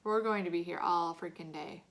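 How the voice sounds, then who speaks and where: A woman's voice: on the low side, normal speed, natural voice. Woman reading as an offhand fifth-grade boy. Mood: matter-of-fact. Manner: offhand, slangy. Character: casual, teasing fifth-grade boy, loyal friend. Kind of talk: children's audiobook-style read-aloud.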